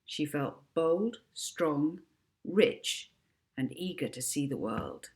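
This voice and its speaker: A woman reads a children's story aloud as its author. Her voice is on the low side and very lively in pitch, with expressive audiobook narration. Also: slow pace. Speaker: author reading own book.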